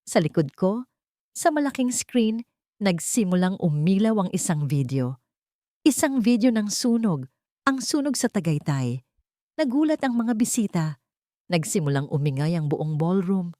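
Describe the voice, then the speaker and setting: A woman's voice: medium pitch, very animated, unhurried, mellow, no echo, studio quality. A woman narrating a scripted drama in the first person as an audiobook storyteller.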